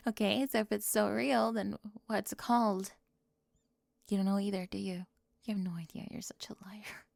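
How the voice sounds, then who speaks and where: Woman, mid-pitched, gravelly edge, dry close whispers, teasing roleplay delivery. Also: level tone, normal speed, no room sound. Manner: teasing, sharp-tongued, moving between whispers and soft speech. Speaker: ASMR roleplay performer.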